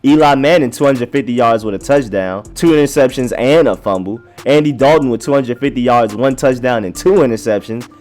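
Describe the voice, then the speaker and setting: A young man hosts a sports show, his voice hoarse and worn from a cold. He talks in a slangy, chatty way and leans hard on his points. Faint music plays under him. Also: mid-range voice, fairly quick, few pauses, a little mellow. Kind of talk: casual sports commentary.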